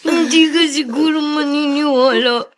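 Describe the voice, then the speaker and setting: A woman's voice: sits high, fairly even intonation, normal speed, lightly acted. A woman playing an earnest, devoted little boy in a radio drama, with a childlike, pleading manner. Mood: tearful, hopeful. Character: earnest, devoted little boy comforting his sick playmate.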